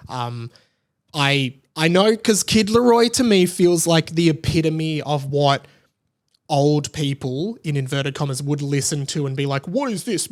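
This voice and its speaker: Male podcast host, young Australian, voice a little high, effusive and conversational. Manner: enthusiastic, effusive. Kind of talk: casual solo podcast chat.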